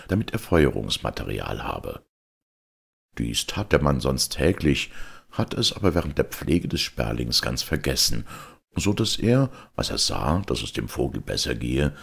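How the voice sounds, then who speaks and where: A man's voice: a bass voice, creaky, breathy edge. A man reading a fairy tale aloud as a storyteller, in scripted narration.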